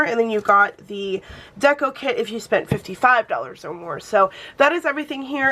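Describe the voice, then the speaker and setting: A woman's voice: on the high side, a bit bright. A young woman hosting a planner channel, chatting casually and thinking aloud as she crafts, her voice a bit off from a cold. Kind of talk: casual plan-with-me chat.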